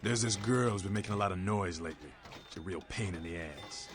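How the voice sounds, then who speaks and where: A man's voice: sits low, a little fast, natural voice. A man voicing a blunt, streetwise vampire anarch and war veteran in a video game, talking in slangy, preaching fashion. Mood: wry, irritated. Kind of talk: video game character dialogue. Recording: background music under the voice.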